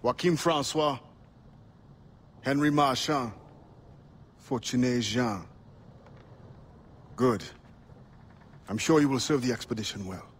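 An actor playing a resolute, defiant former slave turned pirate Assassin in a video game, grave and emphatic. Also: male voice, medium pitch, slow pace, long pauses, slight background noise, lightly acted. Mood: firm. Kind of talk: dramatic video game acting.

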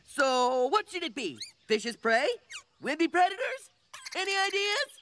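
A man's voice: very high-pitched, big pitch swings, unhurried, sharp tone, a little hiss or hum, exaggerated voice. A man voicing a friendly, dim-witted and naively confident young jungle man in exaggerated cartoon style, talking in broken grammar. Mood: earnest. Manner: speaks of himself in third person, broken grammar. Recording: cartoon music underneath.

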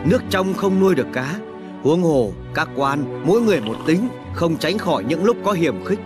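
An actor playing a deferential servant of a king in an animated historical drama, humble and gently reassuring. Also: a little high, normal speed, natural voice. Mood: deferential, soothing. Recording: music behind the voice.

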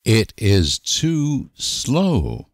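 A man reading short example sentences for language learners with deliberate, careful enunciation. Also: on the low side, wildly swooping pitch, vocal fry, dry recording, clean studio sound. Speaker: language teacher.

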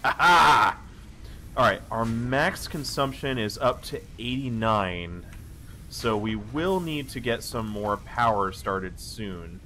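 A man casually narrating his own building game, talking to himself with wry asides and a slightly hoarse voice. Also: mid-range voice, animated, takes it slowly, slight background noise, low-quality recording. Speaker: let's play host. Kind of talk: casual gameplay commentary. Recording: voice-over with loud game music behind.

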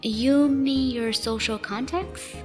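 A woman's voice: high-pitched, fairly even intonation, takes it slowly, big-room sound, over music. A woman voicing a restless, plucky young female slime rancher in a fan radio play, casual and direct. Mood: teasing.

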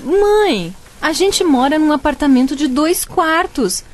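A young actress playing a student daughter in a radio soap, sarcastic and complaining. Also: female voice, high-pitched, expressive, slow. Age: young adult. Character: sulky student daughter Ana.

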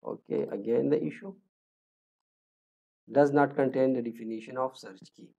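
A man, talking through a screen-recorded coding tutorial in a halting way, with many fillers and restarts as he thinks aloud. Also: medium pitch, fairly even intonation, creaky, warm tone, highs cut off. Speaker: programming tutor.

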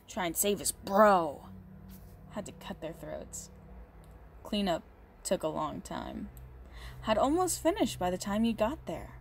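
A woman performs a big, strong goth girlfriend in an ASMR roleplay, doting and unhinged. Her voice sits fairly low and she speaks very slowly and haltingly, with menace under the sweetness. There is some noise behind her. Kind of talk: intimate ASMR roleplay monologue.

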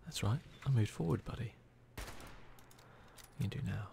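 Hushed, low-voiced man with gravelly creak. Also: expressive, a little slow, a little hiss or hum. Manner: hushed, often dropping into whispers. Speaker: gaming video host. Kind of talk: ASMR gameplay commentary.

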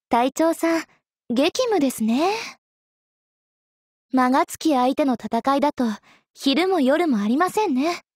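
Very high-pitched coy anime sword maiden. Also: female voice, no echo, studio quality. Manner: polite, coy, teasing, deliberate delivery. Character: young sword maiden, polite, teasing, possessively sweet. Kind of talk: Japanese anime mobile game character lines.

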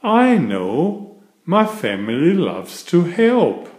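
A man reading aloud in a deliberate, expressive children's storytelling style, as a narrator and host. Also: on the high side. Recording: roomy, echoing room sound.

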